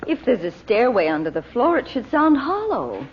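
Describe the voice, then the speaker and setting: Anxious, emotional heroine, swooping expressively. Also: female voice, fairly high pitch, big pitch swings, moderate pace. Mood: anxious, hopeful. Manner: emotional, dramatic delivery. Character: Dot, anxious, brave woman searching for a lost loved one. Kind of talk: 1940s radio drama acting. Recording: muffled, hissy old radio recording.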